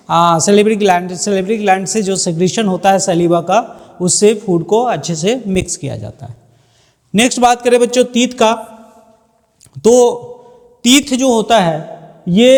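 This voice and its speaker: A man lecturing as a biology teacher, didactic, mixing in English terms and posing questions that he answers himself. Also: high voice. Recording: long hollow room reverb.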